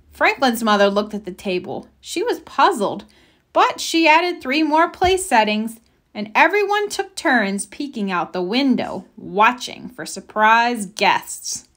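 Female storybook reader: highish, animated, careful and expressive narration for young children. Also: a little slow. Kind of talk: children's read-aloud narration.

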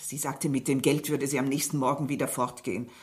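A woman's voice: rumbling low, flat delivery, brisk. An actress playing a distressed middle-aged mother under questioning in a radio drama, answering defensively and with agitation. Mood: upset, confiding. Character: distressed, defensive mother of a murdered grown daughter.

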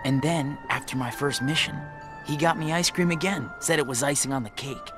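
A man playing an earnest, uncertain teenage boy in a game cutscene, soft, sincere and hesitant. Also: mid-range voice, a little fast, music in the background, lightly acted. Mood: wistful. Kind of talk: video game cutscene acting.

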